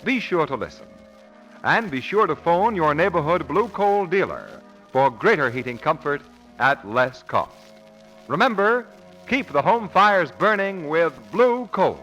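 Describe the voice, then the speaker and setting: Old-time radio announcer guy, emphatic, highish voice, really animated. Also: unhurried, lo-fi sound, soft background music. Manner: emphatic, dramatic. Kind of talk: scripted 1940s radio announcing. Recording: old 1940s radio broadcast recording.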